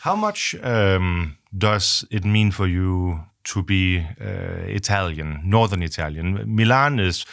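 A man hosts a podcast interview, asking long, meandering questions full of fillers. His voice is very low, and it is recorded dry and close. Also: animated, slow, creaky, hardly any pauses, fairly bright tone, no echo. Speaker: podcast host.

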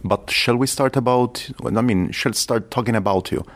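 A man's voice: medium pitch, moderate pace, slight background noise. A man hosting a casual podcast interview, asking questions conversationally.

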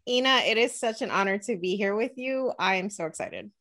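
A woman in her thirties from New Jersey talks as a guest on an interview podcast. She is energetic and talkative and speaks at a middle pitch. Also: a little fast. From New Jersey, USA. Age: 30s. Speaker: podcast guest, money coach. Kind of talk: casual interview talk.

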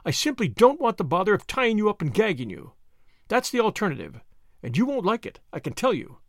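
Man voicing a menacing, high-pitched imperious villainess. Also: big pitch swings, a little fast, no room sound, clean studio sound, heavily acted. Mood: impatient, scornful. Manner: cold, commanding, sneering. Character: menacing villainess, cold, mocking, imperious woman. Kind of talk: audiobook character acting.

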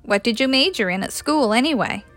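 A woman's voice: a little high, lively intonation, a little fast, a bit bright, faint music behind. A woman voicing an easygoing, wry young man in a story reading. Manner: wry, casual. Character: easygoing young man, apologetic old childhood friend.

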